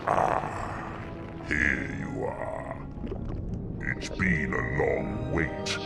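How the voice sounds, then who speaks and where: An arrogant, godlike video game villain. The voice is deep and drawn out, mocking and grandly menacing. Music runs behind it. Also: male voice, full character voice. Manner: slow, taunting, grandiose. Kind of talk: video game character acting.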